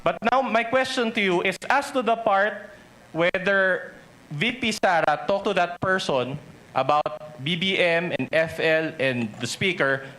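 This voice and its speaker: A man's voice: sits high, a little slow, bright, forceful tone. A man with a Filipino accent cross-examining as defense counsel in a hearing, pressing and insistent. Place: hearing room. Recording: noisy hearing-room ambience.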